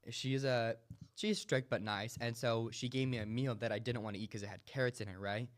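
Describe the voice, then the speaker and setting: A man's voice: medium pitch, fairly even intonation, a little fast. A young man hosting a casual comedy chat, laughing often and rambling with many fillers. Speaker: host.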